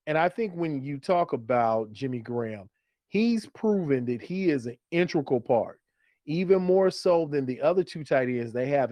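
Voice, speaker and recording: A man's voice: mid-range voice, mellow, no room sound. A man co-hosting a sports show in casual chat, explaining his analysis and repeating phrases for emphasis. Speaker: sports podcast co-host. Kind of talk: casual fantasy football chat.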